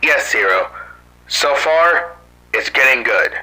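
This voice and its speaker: A man's voice: medium pitch, lively intonation, slow, bright, forceful tone, full character voice, low-quality recording. A man playing a doctor reporting over a radio link in an amateur analog horror cartoon. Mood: dutiful.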